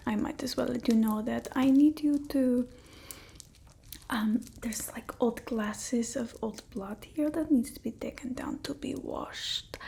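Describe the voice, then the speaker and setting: Casting: theatrical old vampire mistress for ASMR roleplay, soft mellow whispery delivery, unhurried and scornful of humans. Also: female voice, high voice. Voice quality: breathy, often whispering. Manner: broken old-world English, dramatic and haughty.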